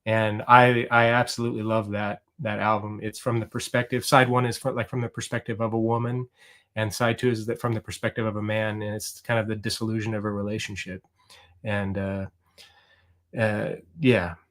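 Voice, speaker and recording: A man's voice: on the low side, level tone, normal speed, a bit bright. A middle-aged man from Oregon hosting a casual livestream, reading out viewer comments and rambling with lots of ums and restarts. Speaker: livestream host.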